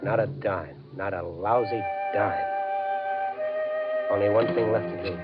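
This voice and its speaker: An actor playing a hapless, anxious gambler in a radio suspense drama, halting and colloquial in his delivery. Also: lowish voice, over loud music, close to an everyday voice. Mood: grim, narrating. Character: desperate, hapless everyman gambler in debt. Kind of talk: 1950s radio suspense acting with narration. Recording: dull, muffled 1950s radio recording.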